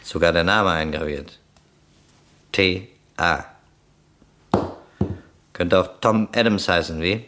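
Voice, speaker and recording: A shrewd police detective in a crime radio play. His voice sits low, and he questions with dry, sardonic skepticism, pressing each point. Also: slow, long pauses, sharp tone, slight background noise, full character voice. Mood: dry, needling. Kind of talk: German crime radio drama acting.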